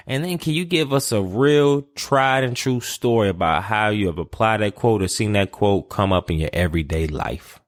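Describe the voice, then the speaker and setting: A man's voice: fairly low pitch, expressive, normal speed, hardly any pauses. A man hosting a podcast interview, playful and rambling, laughing often as he talks. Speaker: podcast host.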